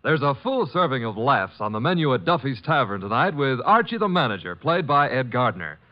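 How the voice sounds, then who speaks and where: A man's voice: mid-range voice, sing-song, a little fast. A man with an American accent announcing and narrating a 1950s radio show in a polished, theatrical manner. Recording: dull vintage radio broadcast recording. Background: music.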